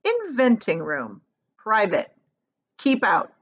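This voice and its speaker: A woman playing an eccentric storybook inventor, a man bursting with pride and given to bossy orders. The delivery is emphatic and exclamatory, with big swings in pitch. The audio is slightly dull and lo-fi. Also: wildly swooping pitch, slow, husky, heavily acted. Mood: stern, commanding. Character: excited, proud male chocolate inventor, bossy and eccentric.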